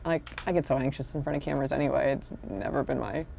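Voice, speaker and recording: A woman's voice: a bass voice, steady tone, quick pace, gravelly, dark tone, a little hiss or hum. A woman in casual, reflective conversation, rambling and slipping into quoted asides as she explains herself.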